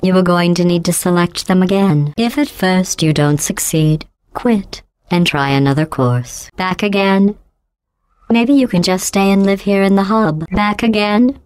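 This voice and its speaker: A woman voicing a cold, sarcastic female AI overseer in a video game, speaking in a deadpan, mocking, clipped and measured way through a synthetic computer voice effect. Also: lowish voice, clear, resonant tone, dry recording. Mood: sardonic.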